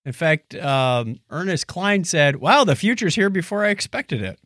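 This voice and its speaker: A man's voice: a little high, animated, normal speed, fairly bright tone, no echo, clean studio sound. A man hosting a podcast in a casual, joking, conversational way. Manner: joking, playful. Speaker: podcast host. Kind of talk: casual co-hosted podcast chat.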